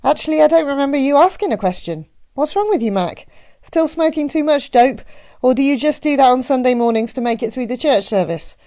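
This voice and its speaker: A woman's voice: high-pitched, animated, a little fast, warm tone, no room sound, studio quality, voice pushed up. A woman voicing a matronly, teasing small-town postmistress with a Midwestern accent and a chatty, folksy manner in an audiobook. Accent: Midwestern American. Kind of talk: audiobook character voice.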